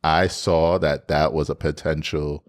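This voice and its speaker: A man with a deep voice co-hosting a podcast, candidly retelling a story and acting out his own past lines. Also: flat delivery, unhurried. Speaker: podcast co-host. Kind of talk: candid storytelling in casual chat.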